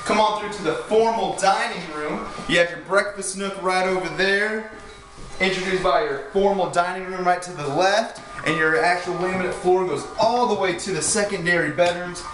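A man's voice: high-pitched, normal speed. A young man, giving an upbeat sales tour of a show home. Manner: enthusiastic, pushy salesman patter. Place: inside an empty show home. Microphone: handheld camera from a few steps away. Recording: echoey rooms, mic at a distance, music behind.

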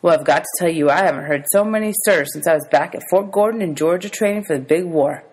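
Woman voicing an animated, teasing grown man. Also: on the low side, quick pace, husky. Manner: animated, wordy, prone to exaggeration. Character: grown man, teasing, exasperated, kindly motorist.

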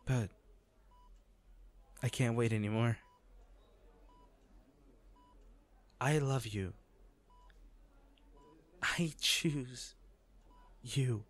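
A man playing an injured, devoted boyfriend in an intimate audio roleplay, speaking tenderly, earnestly and haltingly. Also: mid-range voice. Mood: earnest, pleading. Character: injured, devoted boyfriend proposing from a hospital bed.

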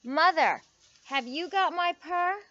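A small, sweet storybook kitten, played by a woman, pleading politely in a high voice. The pitch lifts sharply on questions, and the tired little creature sounds earnest on a slightly dull recording. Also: big pitch swings, a little slow, pushed and bright, dry recording, heavily acted. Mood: weary, pleading.

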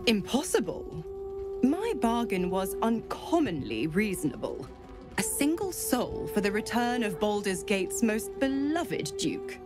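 A woman voicing a sly, mocking female devil in a video game, with a silky, theatrical, teasing drawl. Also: mid-range voice. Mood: mock-innocent, smug. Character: sly, mocking, seductive female devil, half-fiend servant. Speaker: game character. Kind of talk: video game cutscene acting.

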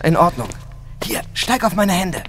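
A man playing a practical, urgent sidekick to a ghost hunter in a radio adventure drama, brisk and urging. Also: high-pitched, very animated, a bit bright, faint music behind. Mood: practical, encouraging.

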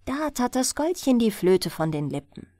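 A woman narrating a bedtime fairy tale in a gentle, soothing, expressive storytelling manner. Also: a little high, moderate pace, no room sound, clean studio sound. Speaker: audiobook narrator.

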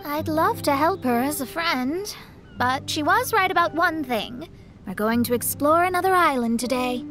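A woman's voice: high-pitched, lively intonation, normal speed, music in the background. A woman playing a composed, serious young astrologer in an anime video game, articulate and proper. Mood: reassuring, matter-of-fact.

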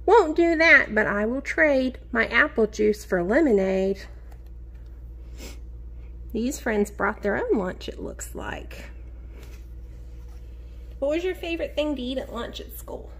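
A woman teacher reading a picture book aloud to young children in an expressive sing-song way, encouraging them and asking them questions. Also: sits high. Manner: expressive, sing-song, drawn-out key words; encouraging, addresses children directly.

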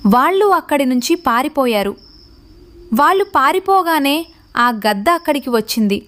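A woman's voice: high-pitched, sing-song, normal speed, a bit bright. A woman reading scripted narration for a children's story as the narrator.

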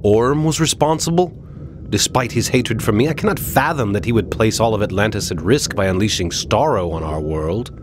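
A man's voice: fairly low pitch, normal speed, slight background noise, close to an everyday voice. A man playing a noble, resolute superhero king in a video game, earnest and commanding. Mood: troubled. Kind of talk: dramatic video game acting.

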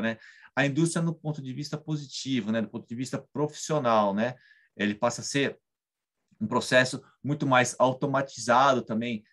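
A man in his thirties with a Brazilian Portuguese accent, explaining a technical topic over slides in a webcam lecture, often adding tag questions. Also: mid-range voice. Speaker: engineering guest lecturer. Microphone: webcam on video call.

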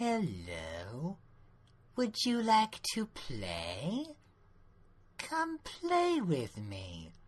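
A man voicing a creepy childlike doll monster, breathy and rasping, coaxing in an eerie way. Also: a little high, huge pitch swings, drawn-out words, pauses often, a little hiss or hum, exaggerated voice. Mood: sinister, coaxing.

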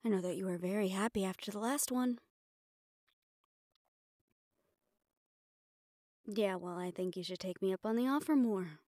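A woman performs a fiercely loyal mafia boss's assistant in an audio roleplay. She is stressed and exasperated, clipping her phrases and swearing freely. Her mid-pitched voice sits close, with hardly any room around it. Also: fast, a little mellow, no echo, close to an everyday voice. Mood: defensive, flustered.

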